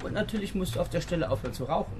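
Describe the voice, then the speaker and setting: An actor playing a stuffy, proper pharmacist in a children's radio play, with a prim, pedantic manner. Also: male voice, high voice, expressive, rapid-fire. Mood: indignant, lecturing. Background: music.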